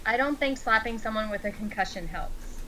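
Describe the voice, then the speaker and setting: A woman's voice: a little high, moderate pace, pushed and bright, a little hiss or hum, poor audio. A woman reading the part of a foul-mouthed, sarcastic gangster at a screenplay table read. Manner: sarcastic, crude. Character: foul-mouthed sardonic female gangster, mocking and tough.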